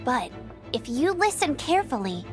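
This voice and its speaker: An actress voicing a plucky, cheerful young woman outrider in a game, bright and boastful. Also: high voice, very animated, normal speed, faint music behind. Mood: upbeat. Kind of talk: game voice acting.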